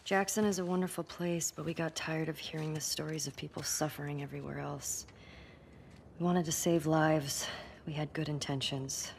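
Over clear background ambience comes a young American woman survivor in a cinematic game. Her voice is notably low for a woman. She is guarded and hurt, speaking with quiet, hesitant earnestness. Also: fairly even intonation, moderate pace, slight background noise. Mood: somber reading aloud. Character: wary, wounded young woman survivor pressing for the truth. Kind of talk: naturalistic cinematic game acting.

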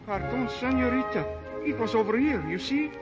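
An actor playing a polite, flustered waiter in a 1940s radio drama. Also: male voice, very high voice, expressive, moderate pace. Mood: polite, puzzled. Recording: muffled 1940s radio, music behind.

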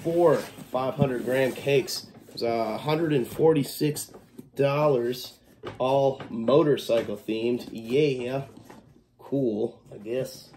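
A man's voice: medium pitch, slow, darkish tone, slight background noise. Laid-back man with a stuffy cough. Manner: laid-back, swears freely, scolds his cat. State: congested with a cough. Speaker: fireworks vlogger. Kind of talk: casual unboxing vlog. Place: small kitchen at home, indoors.

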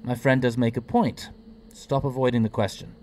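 A man playing a quick-witted, eccentric time traveller in an audio drama, wry and explanatory. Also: mid-range voice, flat delivery, a little mellow, a little hiss or hum, close to an everyday voice. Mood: cheeky, challenging.

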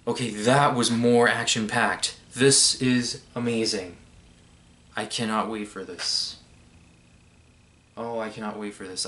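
Young man with a bright, lowish voice. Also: a little hiss or hum. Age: young adult. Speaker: video host reacting to a trailer. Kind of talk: casual reaction vlog. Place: bedroom, indoors.

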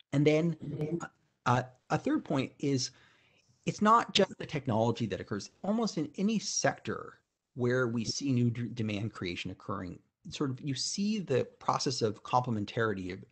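Husky-voiced man answering on a video call. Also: mid-range voice. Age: middle-aged. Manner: thoughtful, many restarts and "you know" fillers. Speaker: keynote speaker. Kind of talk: conference Q&A answer. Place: home room with bookshelves.

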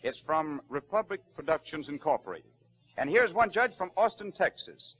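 Male announcer with a fairly high voice, reading messages aloud in 1930s radio style. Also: sing-song, moderate pace, a bit bright.

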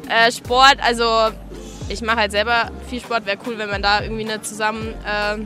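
A woman's voice: mid-range voice, little pitch movement, normal speed. Hesitant young woman on a street. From Munich. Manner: hesitant, fillers, trails off. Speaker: interviewee. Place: shopping street at night, outdoors. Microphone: handheld mic held by interviewer. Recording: street with loud music behind.

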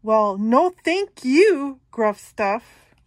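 A woman's voice: a little high, very animated, very slow, no room sound, heavily acted. A woman voicing a huge, bold male billy goat who answers back defiantly. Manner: defiant, roaring.

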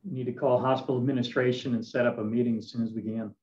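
A man's voice: mid-range voice, fairly even intonation, quick pace, a little mellow. Decisive man on a dull video call. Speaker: committee member. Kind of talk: meeting discussion.

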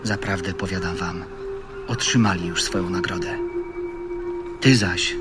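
Calm holy teacher, low voice, earnest, measured, authoritative, audio Bible drama, loud music underneath. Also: male voice. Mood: gentle instruction.

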